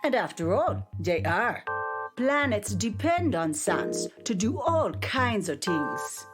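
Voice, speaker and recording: A gentle, folksy caretaker. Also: female voice, mid-range voice, big pitch swings, slow, music in the background. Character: kindly nursing home caretaker woman. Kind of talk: audio drama acting.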